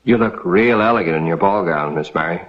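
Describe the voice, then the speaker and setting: An American actor playing a courteous, steady friend in an old TV western. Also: male voice, low voice, brisk, natural voice. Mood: kindly, admiring. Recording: dull vintage TV western soundtrack.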